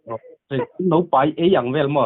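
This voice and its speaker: Higher-voiced man on a narrow phone line. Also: fast. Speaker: phone caller. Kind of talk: casual phone chat. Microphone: telephone.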